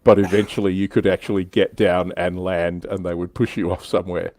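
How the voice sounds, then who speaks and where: Man, low pitch, dry close recording, casual livestream host, explanatory storyteller. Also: flat delivery, a little fast, darkish tone, dry recording. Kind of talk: casual chat on a hobby livestream.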